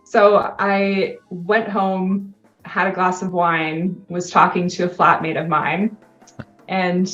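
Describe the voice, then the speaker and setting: A lowish-voiced woman from Canada tells her story as a podcast guest, lively and quick to laugh. Her voice sits in a roomy space. The sound is slightly dull, with faint music behind her. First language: English. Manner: animated storyteller, laughs often. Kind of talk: casual interview conversation.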